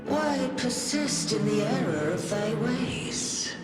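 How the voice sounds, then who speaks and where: Cold possessive game deity, drags words out slowly, grand old-timey talk, lots of echo. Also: female voice, on the high side, animated. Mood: ominous menace. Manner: archaic, grandiose, menacing speech. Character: imperious female false deity, solemn, coldly possessive. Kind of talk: scripted video game voice acting. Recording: echoing voice with music underneath.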